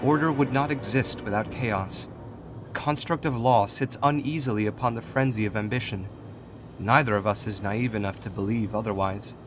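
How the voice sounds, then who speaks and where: A man's voice: lowish voice, natural voice. A man as Raphael, composed calculating god, music behind. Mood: calm, gently chiding. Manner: measured, formal, articulate, diplomatic. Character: Raphael, composed, calculating male god in authority, gently chiding. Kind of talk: scripted fantasy audio drama acting.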